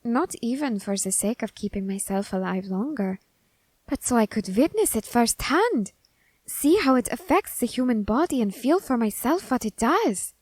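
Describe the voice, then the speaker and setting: A woman playing a scholarly, quietly menacing Grim Reaper in an ASMR roleplay, speaking in formal, deliberate phrases with pauses. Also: on the high side, expressive, no echo. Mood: intrigued, musing. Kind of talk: ASMR character roleplay audio drama.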